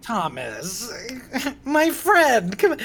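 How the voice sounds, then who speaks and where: An actor playing a desperate, flustered talent agent in an audio comedy, with a theatrical, wheedling manner. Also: male voice, very high voice, huge pitch swings, very slow, fairly bright tone, a little hiss or hum, heavily acted. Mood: anxious, cajoling. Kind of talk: audio comedy drama acting.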